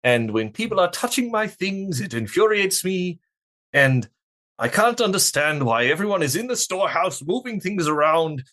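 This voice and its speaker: An uptight, exacting control freak played by a man speaks through clenched teeth. His voice sits high and bright, and every word is precise, with fury close under the surface. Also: very animated, moderate pace. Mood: seething, exasperated.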